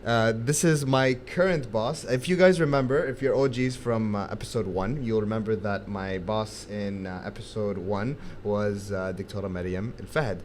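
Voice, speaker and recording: A man's voice: fairly low pitch, normal speed, few pauses, darkish tone. A young man hosting a casual podcast, chatty, with many fillers and frequent laughs. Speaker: podcast host. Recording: faint background noise.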